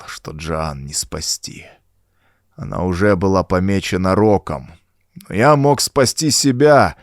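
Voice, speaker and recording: Man acting a groaning, dying old renegade, low-voiced. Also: no echo, studio quality, close to an everyday voice. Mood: anguished, guilty. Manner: groaning, laboured, dramatic delivery. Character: dying old male renegade cultist confessing in dread and agony. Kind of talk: acted audiobook dialogue.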